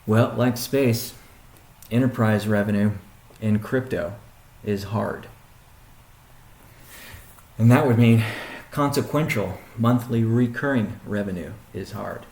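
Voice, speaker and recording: Man, company executive, business Q&A, gravelly creak, deliberate and halting, roomy echo. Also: lowish voice, a little mellow. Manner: halting, deliberate, with frequent pauses and restatements. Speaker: company CEO hosting a weekly conference call. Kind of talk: question-and-answer business briefing. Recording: roomy, echoing sound.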